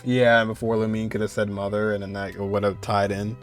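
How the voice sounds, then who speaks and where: A man's voice: on the low side, little pitch movement, a little fast. A man streaming a game playthrough, thinking aloud in an informal, teasingly exasperated way with game music behind him. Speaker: gaming streamer. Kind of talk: casual playthrough commentary.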